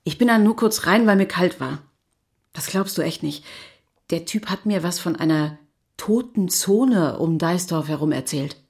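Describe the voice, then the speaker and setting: A woman's voice: fairly low pitch, animated, moderate pace, a little mellow, husky. An actress playing a sardonic married city woman in an audio drama, speaking in a dry, ironic, conversational way. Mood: uneasy. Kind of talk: German audio drama acting.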